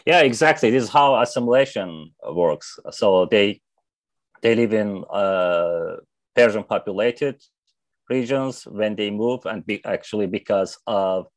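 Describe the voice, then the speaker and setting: A man's voice: lowish voice, a little slow, no echo. A young man from Iran, a native Azerbaijani Turkish speaker, answering interview questions in a hesitant way with many fillers and restarts. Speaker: interview guest, activist.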